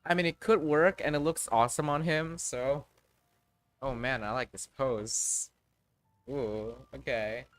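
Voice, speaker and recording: A man gives casual live commentary on a game he is playing. His pace is slow and halting, as he thinks aloud and mutters to himself. His voice sits at an average male pitch. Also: dry recording, faint music behind.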